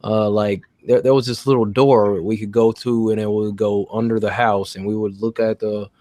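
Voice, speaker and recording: A man's voice: on the low side, little pitch movement, normal speed, warm tone, dry recording. Laid-back man from Arkansas, dry sound. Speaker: podcast host. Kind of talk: casual chat.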